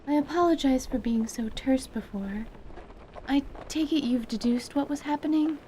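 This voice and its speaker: A woman's voice: sits high, normal speed, dark tone, slight background noise, lightly acted, poor audio. A woman playing an anxious, proud young surgeon and spellcaster in a fantasy audio drama, speaking formally and hesitantly, with an apologetic manner. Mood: contrite, hesitant.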